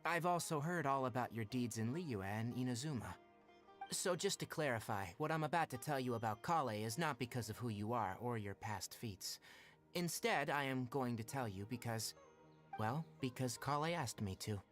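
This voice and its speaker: A composed young male forest ranger played by a man, frank and protective, with a medium-pitched voice. He speaks in measured, articulate anime game style over faint background music. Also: lively intonation, fairly bright tone, natural voice. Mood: earnest. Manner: measured, articulate, matter-of-fact. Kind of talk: anime video game voice acting.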